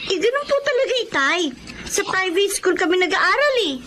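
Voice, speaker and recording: High-voiced young student. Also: female voice, big pitch swings, fairly quick, sharp tone, slight background noise, full character voice. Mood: insistent. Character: earnest young student, polite and insistent. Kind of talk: radio drama acting.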